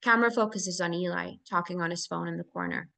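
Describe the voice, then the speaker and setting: A woman's voice: lowish voice, lively intonation, fast talker, vocal fry, sharp tone. A woman reading scene directions as narrator at a live screenplay table read.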